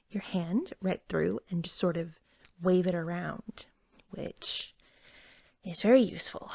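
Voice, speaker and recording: Breathy, creaky woman in soft ASMR roleplay. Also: on the low side. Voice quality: soft, breathy, with slight fry. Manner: gentle, unhurried, chatty shop-clerk patter. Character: eager, helpful young female pet store cashier.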